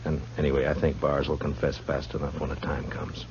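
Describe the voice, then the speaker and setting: Laconic western lawman, deep voice, dry and deliberate, old radio with background hiss. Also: completely flat delivery, a little mellow. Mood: grim, resolute. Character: grim, laconic frontier marshal in the Old West. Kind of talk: 1950s radio western acting. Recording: muffled, noisy 1950s radio recording.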